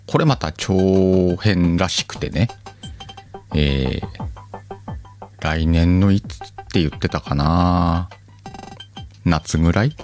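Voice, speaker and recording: A man's voice: low-pitched, slow pace, long pauses, a little mellow, soft background music. A man hosting a podcast in a chatty, joking, self-deprecating way. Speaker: podcast host. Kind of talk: casual chat.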